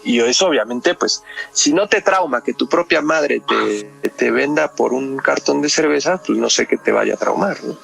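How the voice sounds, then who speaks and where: Man, Mexican accent, heavy vocal fry, conversational podcast explainer, frequent tag questions, faint music behind. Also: mid-range voice, lively intonation, moderate pace, fairly bright tone. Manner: constantly checks with tag questions. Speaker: psychologist guest.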